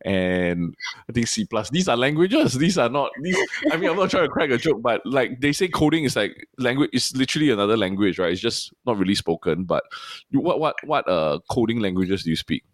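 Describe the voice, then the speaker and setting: A man's voice: medium pitch, expressive, a little fast, dry recording. A man from Singapore hosting an interview, asking curious, rambling questions with frequent restarts. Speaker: interview host. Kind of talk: casual interview chat.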